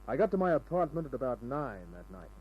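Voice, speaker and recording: An actor playing a wisecracking, world-weary private detective in an old radio crime drama, with dry, sardonic delivery. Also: male voice, mid-range voice, big pitch swings, fast. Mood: terse storytelling. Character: wisecracking hard-boiled male private detective. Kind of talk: 1940s-50s radio crime drama narration and dialogue. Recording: dull old radio recording with music.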